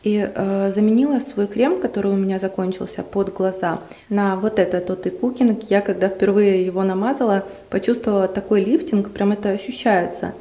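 A young woman chatting to the camera at home, enthusiastic and talkative, with drawn-out hesitations. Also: mid-range voice, fairly even intonation, mellow. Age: young adult. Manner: chatty, enthusiastic about cosmetics, drawn-out fillers. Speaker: beauty vlogger. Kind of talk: casual chat to camera. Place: home room indoors.

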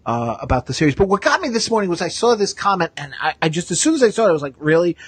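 A man with a husky voice hosts a live podcast. He chats casually and repeats phrases as he goes. The sound is dry and close. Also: sits high, very animated, rapid-fire, no echo. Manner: chatty, repeats himself. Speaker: podcast host.

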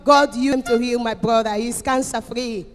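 A woman's voice: fairly high pitch, fairly even intonation, moderate pace. A middle-aged woman from Equatorial Guinea giving an emotional testimony to a congregation, declaiming emphatically and repeating phrases into a handheld mic. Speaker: church member giving testimony. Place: church auditorium. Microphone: handheld microphone. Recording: echoey hall PA with music behind.

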